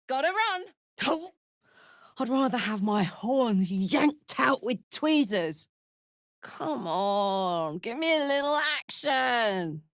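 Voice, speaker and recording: A woman playing a big, horned hellspawn warrior in a video game, brash and casual, warm-hearted, playful and hot-tempered. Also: on the high side, no echo, studio quality, full character voice. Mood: urgent. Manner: brash, casual, emotionally open. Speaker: video game character voice actor. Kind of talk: expressive video game voice acting.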